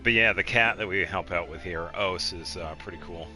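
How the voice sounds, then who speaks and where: A man giving casual video game commentary as he guides another player, explaining things in a halting, meandering way. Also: deep voice, sharp tone, faint music behind.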